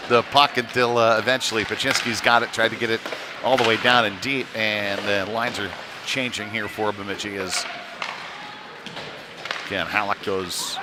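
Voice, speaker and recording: A man doing live hockey play-by-play in a loose, conversational way, with hesitant pauses mid-call. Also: lowish voice. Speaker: play-by-play commentator. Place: ice rink. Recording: noisy live rink ambience.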